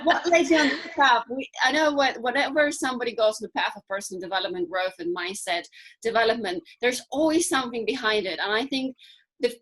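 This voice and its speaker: A woman's voice: fairly high pitch, fairly quick, fairly bright tone, poor audio. A woman hosting an interview, prompting her guest with encouraging, repeated questions. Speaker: interviewer, host.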